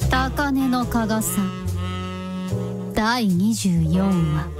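A woman's voice: on the high side, expressive, very slow. A woman delivering scripted narration as the narrator of a radio drama. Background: music under the voice.

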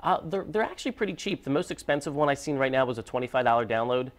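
A man's voice: mid-range voice, expressive, very fast. Young man explaining phone apps on TV. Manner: informal, filler-heavy explaining with restarts. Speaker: computer expert guest. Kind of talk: explaining tech on morning TV. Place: TV news studio.

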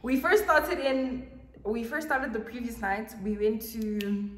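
A woman's voice: on the high side, steady tone, a little slow. A woman in her twenties with a South African accent, chatting casually about life as a performer. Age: 20s. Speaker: performer telling stories of gigs with team. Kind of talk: casual group chat. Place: indoor room, seated before a plain wall. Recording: echoey room.

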